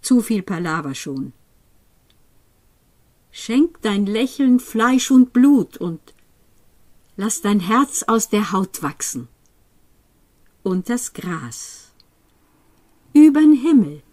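A woman reads poetry aloud at a slow pace in a mid-pitched voice. Her delivery is dramatic and deliberate, shifting between emphatic exclamation and quieter, hushed phrasing. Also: sing-song. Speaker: poetry reader. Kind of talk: expressive, dramatic poetry recitation.

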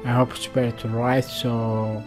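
A man in his thirties with an Algerian accent, hosting a casual reaction vlog in a halting, repetitive way. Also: medium pitch, little pitch movement, over music. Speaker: reaction video host. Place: small room indoors.

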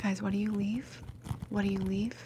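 A woman in her mid-twenties doing ASMR for live viewers in a soft, breathy whisper, chatting gently and repeating words. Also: medium pitch, little pitch movement, moderate pace, noisy background. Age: 20s, mid-twenties. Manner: gentle repetition of words and sounds.

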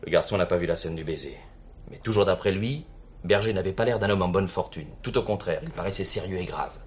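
A man playing a stiff, low-voiced police superintendent. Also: slight background noise, natural voice. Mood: earnest. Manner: formal, measured officialese. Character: stiff, formal, correct male police superintendent, coldly dutiful. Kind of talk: French radio play acting.

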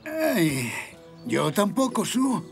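A patient old grandfather in a children's cartoon. His voice is gravelly and lively in pitch, explaining things kindly, with faint music behind him. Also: extremely slow, full character voice. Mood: gentle, thoughtful. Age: older. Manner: patient, explanatory, thoughtful hums.

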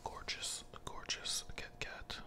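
A person whispering an ASMR candy review close to the microphone, hesitant and often repeating phrases. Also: takes it slowly.

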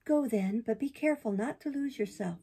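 A woman voicing a kind, motherly fairy in a storybook reading, speaking with calm, tender reassurance. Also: a little high, brisk, breathy edge.

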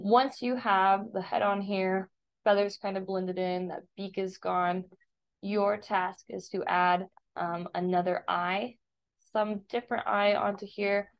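Creaky-voiced woman teaching, dull recording. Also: medium pitch, fairly even intonation, takes it slowly. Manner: casual, step-by-step explaining. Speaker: art teacher. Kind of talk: software tutorial narration. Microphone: screen-recording voice-over. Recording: dull, lo-fi voice-over.

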